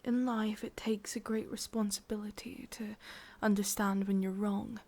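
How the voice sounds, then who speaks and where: A woman with an English accent voicing a sorrowful, obsessive witch in an intimate ASMR roleplay, speaking softly in halting, confiding whispers. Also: mid-range voice, little pitch movement, close to an everyday voice. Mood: wistful, confiding. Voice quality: soft, breathy, mostly whispered. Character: sorrowful, obsessive female witch, tender yet menacing.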